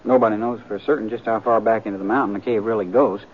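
An actor playing an earnest, inexperienced cowboy companion in an old radio western, with plain rustic speech. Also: male voice, fairly low pitch, fairly even intonation, fast, lightly acted. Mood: helpful. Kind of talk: 1940s-50s radio western acting. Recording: muffled old radio recording, faint noise.

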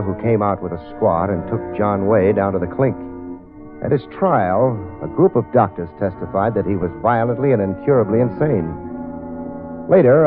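A man's voice: on the low side, close to an everyday voice. A man playing a breezy, wisecracking detective in a 1940s radio drama, both in scenes and as narrator, on a lo-fi old radio recording with music.

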